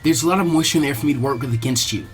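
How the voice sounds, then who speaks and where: A soft, formal young ninja woman acted by a man, speaking in a subdued low tone. She is resolute and coldly devoted to her master, in a storybook reading with music behind. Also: rapid-fire, close to an everyday voice. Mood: calm menace.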